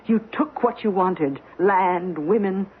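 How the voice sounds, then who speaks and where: An actress playing a bitter, proud, middle-aged wronged wife in an old-time radio drama, speaking in an articulate, cutting, deliberate way. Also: female voice, mid-range voice, husky. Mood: sardonic. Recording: tinny, noisy old radio recording.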